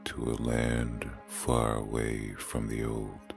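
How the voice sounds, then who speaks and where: An actor playing a solemn male reciter, reading love poetry in a measured way for an audio drama. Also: very deep voice, completely flat delivery, vocal fry, music in the background, heavily acted. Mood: solemn, mournful.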